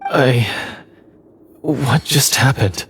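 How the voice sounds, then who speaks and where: A man playing a troubled, remorseful swordsman from a line of hunters in a video game, earnest and halting. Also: mid-range voice, a bit bright, slight background noise. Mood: dazed, alarmed. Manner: halting, earnest, dramatic delivery. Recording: background music under the voice.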